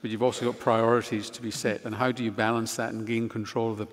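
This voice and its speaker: A man's voice: lowish voice, flat delivery, quick pace. A man moderating a panel discussion on stage, inviting comments from the audience and apologetically restating their questions. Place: large hall on a stage. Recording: reverberant hall.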